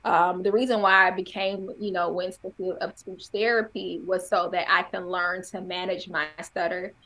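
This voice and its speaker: A woman guest on a podcast with a stutter, telling her story in a candid, animated way and laughing often. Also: lowish voice, moderate pace, low-quality recording. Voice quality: has a stutter. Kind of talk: casual conversational interview.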